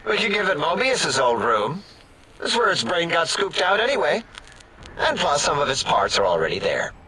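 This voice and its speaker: A petty, sarcastic robot scientist from a video game, with a highish, bright voice. He is excitable, snide and bickering, over faint background noise. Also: moderate pace, heavily acted, poor audio. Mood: eager, wisecracking. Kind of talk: video game character acting.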